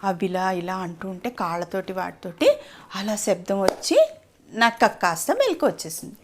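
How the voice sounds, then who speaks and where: A woman's voice: medium pitch, very animated, moderate pace, background noise. A woman telling a story to a child in a simple, explanatory way, often asking questions.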